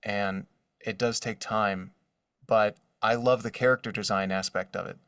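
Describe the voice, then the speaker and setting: A man chatting casually and enthusiastically as an interview guest, in an expressive way. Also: on the low side, unhurried, clean tone, no echo, clean studio sound, far from the mic. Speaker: guest, voice actor and artist.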